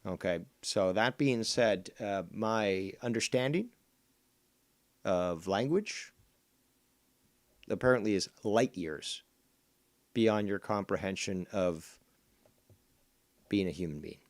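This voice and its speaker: A man's voice: on the low side, slow, long pauses. Combative profane man, first language Spanish. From Canada. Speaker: streamer host. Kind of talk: heated commentary on a clip.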